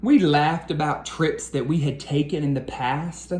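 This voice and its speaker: A woman reading a storybook aloud to children in an expressive, deliberate, sing-song way. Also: low voice. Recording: roomy echo with faint background noise.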